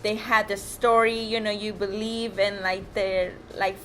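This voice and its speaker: A woman's voice: medium pitch, flat delivery, measured pace, fairly bright tone, slight background noise, low-quality recording. A young woman from Ecuador co-hosting a casual movie review chat, bubbly and laughing often. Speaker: co-host.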